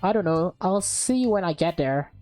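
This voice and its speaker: A woman's voice: lowish voice, animated, moderate pace, dark tone, soft background music, close to an everyday voice. A woman voicing a curt, aloof teenage girlfriend in a visual novel, speaking tersely.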